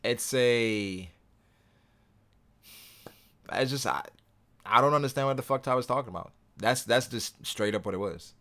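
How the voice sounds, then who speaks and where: A young man hosting a casual livestream, reading viewer comments aloud and answering them in a relaxed, rambling way. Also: mid-range voice, a little slow, pauses often. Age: young adult. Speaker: livestream host reading chat.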